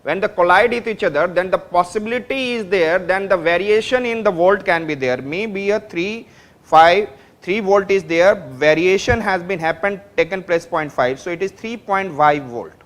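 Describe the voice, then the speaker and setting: A man with an Indian English accent teaches a class, recorded in a classroom. His voice sits high, and he repeats phrases as he builds each point, stopping often to ask whether his students understand. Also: moderate pace, a bit bright. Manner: repetitive, explains step by step, checks understanding. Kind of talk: classroom lecture.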